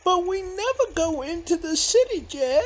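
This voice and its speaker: A woman's voice: very high-pitched, expressive, normal speed. A woman voicing a naive, timid, bookish little brother in an audio comedy, earnest and meek. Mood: earnest correcting. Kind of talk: audio comedy-horror acting.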